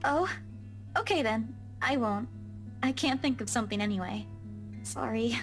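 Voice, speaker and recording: A woman voicing a kind, supportive young friend in a visual novel, in a gentle, easygoing conversational manner. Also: fairly high pitch, normal speed, soft background music, close to an everyday voice. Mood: gently awkward.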